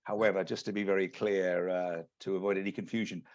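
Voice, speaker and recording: British male presenter, measured and businesslike, low voice, lo-fi call sound. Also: little pitch movement, a little mellow. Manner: measured, businesslike, many restarts. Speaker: executive search partner. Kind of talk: webinar presentation. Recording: lo-fi video call audio.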